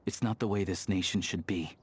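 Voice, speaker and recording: A man's voice: deep voice, fairly even intonation, fairly quick, breathy edge. A man voicing a hardy, principled young man of the snowfields in a video game, speaking in an easygoing, plain-spoken way. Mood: reflective, sincere. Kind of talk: video game character voice acting.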